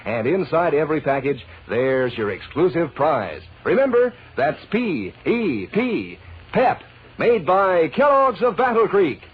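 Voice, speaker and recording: Vintage radio pitchman: American man, highish, slightly husky, folksy and enthusiastic, phone-like old recording. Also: sing-song, a little slow. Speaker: radio announcer. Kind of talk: 1940s radio advertising pitch.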